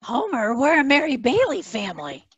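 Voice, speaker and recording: A woman's voice: high voice, wildly swooping pitch, moderate pace, fairly bright tone, breathy edge, no echo, lo-fi sound, full character voice. A woman voicing a principled, disapproving wife and mother, earnest and firm, in an amateur cartoon table read.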